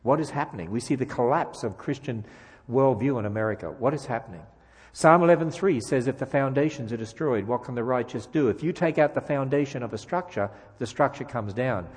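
A man with an Australian accent preaches as a guest speaker, mixing light jokes with emphatic rhetorical questions. His voice sits at a middle pitch. It carries through a church with a long, trailing reverb. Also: animated, fairly quick, slightly rough. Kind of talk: sermon. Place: church sanctuary.

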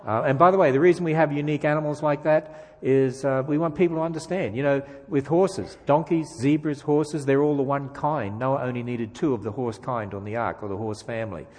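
A man's voice: medium pitch, steady tone, a little fast, hardly any pauses, a little mellow, long reverb tail. A man from Australia with an Australian accent, a guest speaker giving a sermon with jokes and emphatic rhetorical questions in a church. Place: church sanctuary.

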